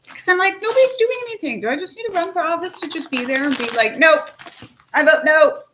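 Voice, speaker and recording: A woman talking casually and animatedly as host, with quick asides, laughs and the odd swear word. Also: high voice, animated, sharp tone, a little hiss or hum. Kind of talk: casual chat to camera.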